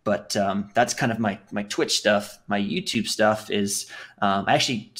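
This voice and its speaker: Rambling young man with a low voice. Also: moderate pace, fairly bright tone. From England. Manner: rambling, with many fillers and restarts. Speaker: podcast guest. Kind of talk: casual conversational storytelling.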